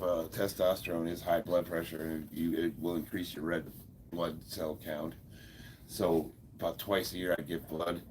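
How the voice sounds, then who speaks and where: A man in his fifties talks in a very low, gravelly voice that sounds a little stuffed up. He chats easily with viewers from his computer mic at home, chuckling and filling gaps with loose fillers. A faint hum of room noise sits behind him. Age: 50s. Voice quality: deep, gravelly voice. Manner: laid-back, chuckles often, many fillers. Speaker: livestream host. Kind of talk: casual livestream chat, reading viewer comments.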